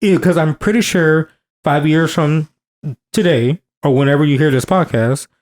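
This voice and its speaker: A man in his thirties with a somewhat high voice talks through a podcast topic in a casual, earnest way. He circles back on his phrases, stacking repetitions for emphasis, and often restarts mid-sentence. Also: moderate pace, a little mellow. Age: 30s. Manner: earnest, repeats himself for emphasis. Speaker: podcast co-host.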